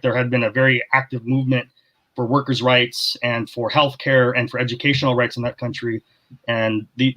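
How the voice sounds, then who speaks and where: A man speaking as a panelist on a webinar over a video call, explaining at length with halting restarts. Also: mid-range voice, a bit bright, poor audio.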